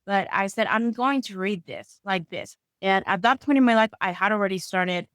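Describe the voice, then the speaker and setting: A woman's voice: mid-range voice, animated, normal speed, fairly bright tone, dry recording, clean studio sound. A woman in her thirties from Venezuela, a native Spanish speaker, telling a friendly story as a guest author. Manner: friendly, storytelling, uneven pace. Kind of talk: casual interview chat.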